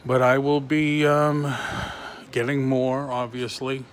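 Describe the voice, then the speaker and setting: A middle-aged man chatting casually on a live vlog from inside a shop, in a halting way, recorded on a handheld phone. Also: mid-range voice, little pitch movement. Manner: halting, reading and answering comments. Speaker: toy reseller livestreaming. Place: large concrete-floored antique mall booth indoors. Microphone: phone held at arm's length or closer. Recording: handheld phone with clear ambient noise.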